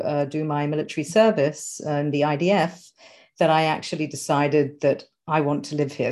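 A British woman in her forties, born in London, answering interview questions in a thoughtful, measured way with some restarts. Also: low voice, darkish tone. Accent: British English. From England. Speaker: guest. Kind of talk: interview conversation.

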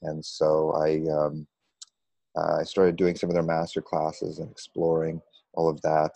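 Very deep, even-toned American man. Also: a little slow, creaky, no echo. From United States. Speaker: interview guest, meditation coach. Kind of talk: conversational personal storytelling.

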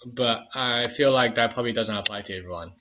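A man's voice: medium pitch, fairly quick, dull audio, background noise. A man with a New Zealand accent, co-hosting a podcast in joking, chatty talk. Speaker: podcast co-host. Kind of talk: casual chat.

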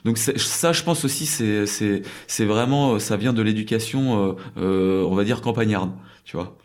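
A man's voice: lowish voice, normal speed, darkish tone. A French man telling stories casually in an interview, rambling, with many fillers and restarts. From France. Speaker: crêperie owner in South Korea.

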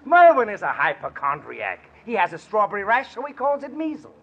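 A man playing an earnest, matter-of-fact family doctor with a husky voice in a 1940s radio comedy. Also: high voice, huge pitch swings, a little fast, fairly bright tone, lo-fi sound, full character voice.

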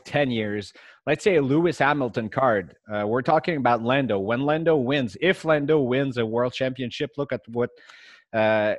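A man's voice: mid-range voice, no room sound, muffled recording. A man whose first language is French, giving casual market commentary as a guest investor and collector.

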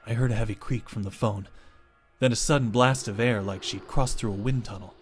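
A man's voice: on the low side, steady tone, a little fast, soft background music. A man reading his own first-person short story as dramatic scripted narration.

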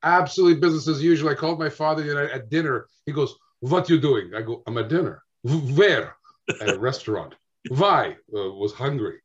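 A man telling lively stories as a guest on a video call, acting out the dialogue as he goes. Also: medium pitch, fairly quick, slightly rough, muffled recording. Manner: animated storyteller, acts out dialogue. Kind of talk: casual storytelling chat.

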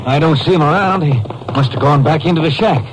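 A man's voice: on the high side, a little fast, lightly acted. An actor in a 1950s radio drama playing a wary, worried Western man with a folksy way of talking. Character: wary, doubtful Western men: a sheriff and anxious father. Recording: very noisy old radio broadcast.

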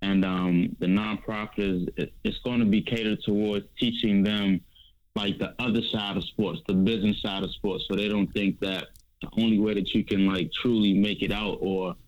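Low-voiced DC guy chilling on a podcast, kinda muffled lo-fi sound. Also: fairly even intonation, normal speed. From Northeast Washington, D.C. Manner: laid-back, says "man" a lot. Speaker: podcast guest. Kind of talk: casual podcast chat.

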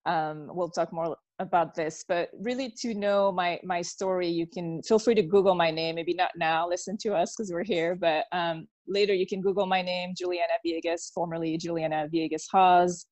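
A woman's voice: on the low side, fairly even intonation, moderate pace, hardly any pauses, a little mellow, no echo. A woman from Belgium speaking informally as an interview guest, explaining things in a conversational way. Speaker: interview guest, podcast host. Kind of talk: informal personal introduction in an online interview.

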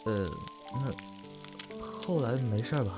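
A man's voice: mid-range voice, unhurried, music in the background, close to an everyday voice. A man playing an easygoing, playful young film actor in a Chinese audio drama, speaking casually and expressively. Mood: concerned.

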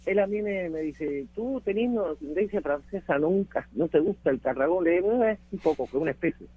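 A man's voice: high voice, expressive, fairly quick, husky, narrow, tinny audio. A man with a Cuban accent reminiscing as an interview guest, emphatic and repeating words for effect. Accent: Cuban Spanish. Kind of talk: reminiscing conversation.

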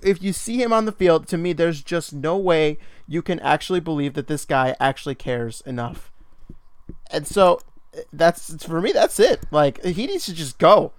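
A man's voice: on the high side, clean tone, no room sound, studio quality. A man co-hosting a sports podcast in casual chat, ranting with exasperation and laughing between points. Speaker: podcast co-host.

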